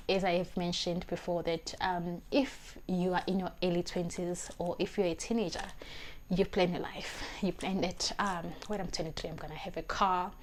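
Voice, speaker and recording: Brief: South African woman, twenties, lower-pitched, earnest advice vlog on a lapel mic. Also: a little mellow, slight background noise. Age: 20s. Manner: earnest, emphatic, repeats phrases while searching for words. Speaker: vlogger. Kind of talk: talking-to-camera life advice. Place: small indoor room, plain wall.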